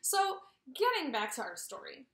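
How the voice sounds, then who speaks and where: A woman teaching kindergarten through a read-aloud lesson, speaking directly to young children and asking them questions in an animated way. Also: high voice, moderate pace.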